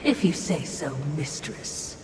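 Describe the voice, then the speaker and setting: Sly, echoing demonic servant. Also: female voice, low-pitched, very animated, slow, exaggerated voice. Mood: dry, needling. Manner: dry, needling, mock-deferential. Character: sly, sardonic demonic servant creature, female, obsequious. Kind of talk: dramatic video game voice acting. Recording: echoey, distant, noisy background.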